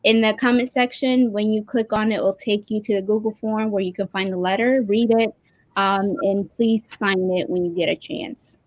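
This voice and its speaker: Lady co-host, medium pitch, dry close sound, casually doing announcements. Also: steady tone, normal speed, no echo, rough recording.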